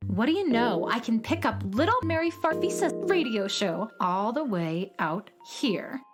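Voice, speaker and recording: A prickly, sarcastic space traveler talking to herself in a children's radio play. Her slow, drawn-out mutters swing widely in pitch, with music clearly playing underneath. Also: very animated. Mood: musing. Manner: sardonic, grumbling asides.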